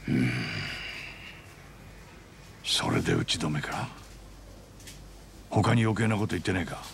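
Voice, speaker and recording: An actor playing a frank, worldly man who gives tough advice in rough, matter-of-fact speech in a game. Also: low-pitched, huge pitch swings, a little slow, noisy background, exaggerated voice. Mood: grim, frank. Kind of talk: dramatic Japanese video game voice acting.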